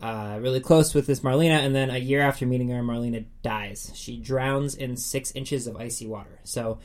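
A man's voice: medium pitch, fairly even intonation, moderate pace. An American man co-hosting a book podcast, talking in a casual, informal and effusive way. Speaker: podcast co-host discussing upcoming books.

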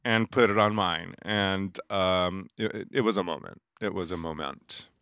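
A man in his forties, a makeup artist, telling stories in a casual interview, animated and laughing often as he acts out dialogue. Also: low-pitched, unhurried, smooth, dry recording, clean studio sound.

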